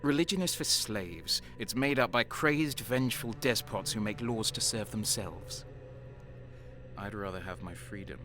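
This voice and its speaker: Man acting a low, brooding deserter soldier. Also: sharp tone. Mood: scornful. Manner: slow, brooding, breaking into whispers. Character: cynical, faithless deserter soldier, sadistic and on edge. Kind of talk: audio drama first-person narration and dialogue. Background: music.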